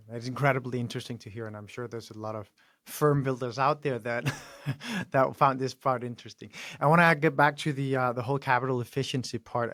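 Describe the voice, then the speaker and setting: A man's voice: medium pitch, fairly bright tone, no room sound, clean studio sound. A man hosting a podcast, asking long, winding questions. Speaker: podcast host. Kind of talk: interview questions.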